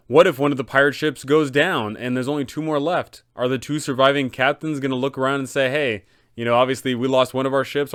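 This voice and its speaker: A man's voice: medium pitch, smooth, no echo, studio quality. A man hosting an enthusiastic explainer for tabletop game masters, with a dramatic, emphatic storytelling delivery. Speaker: host, tabletop game advisor.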